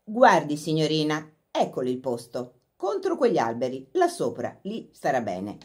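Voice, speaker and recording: A woman's voice: sits low, huge pitch swings, moderate pace. A woman voicing a tall male workman who talks in a plain, direct way in a storybook reading.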